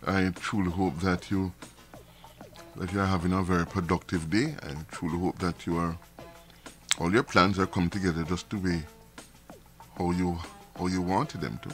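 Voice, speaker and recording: A deep-voiced man hosts a live stream in a loose, rambling way, doubling back on his words and restarting sentences. His voice sounds a little nasal and his throat is irritated from dust. Faint music plays behind him. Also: steady tone, moderate pace, long pauses. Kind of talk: casual monologue to viewers.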